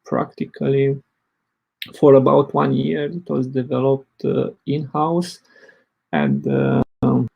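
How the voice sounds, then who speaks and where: Gravelly, hesitant man from Romania. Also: mid-range voice, lively intonation, takes it slowly, lo-fi sound. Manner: hesitant, many fillers. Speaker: open source project lead presenting. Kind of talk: conference talk.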